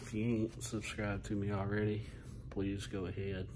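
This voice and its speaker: A man's voice: on the low side, level tone, moderate pace, background noise. A man talking casually and folksily to viewers as a video host, recorded on a handheld phone. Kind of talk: casual vlog talk to viewers. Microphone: phone at arm's length.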